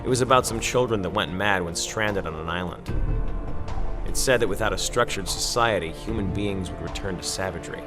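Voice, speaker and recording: A man playing a sombre war chronicler, giving measured, dramatic narration for a video game cutscene. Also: low-pitched, lightly acted. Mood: sombre, reflective. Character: sombre male war correspondent recounting a grim war. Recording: loud music under narration.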